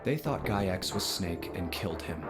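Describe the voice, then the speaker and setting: A determined young accuser in a visual novel. His voice sits low and he lays out each point deliberately, like a detective. Clear music plays behind him. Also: expressive. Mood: pressing, accusing. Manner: deliberate, reasoning step by step. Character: determined young man methodically accusing a killer. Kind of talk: dramatic visual novel dialogue acting. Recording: game audio under prominent music.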